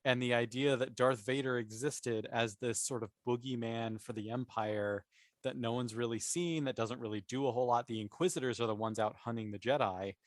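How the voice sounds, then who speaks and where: A man's voice: medium pitch, steady tone, a little fast, no room sound. Explanatory man in casual discussion, dry sound. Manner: thinks aloud, explanatory. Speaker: podcast panelist. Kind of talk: casual opinionated discussion of a TV series.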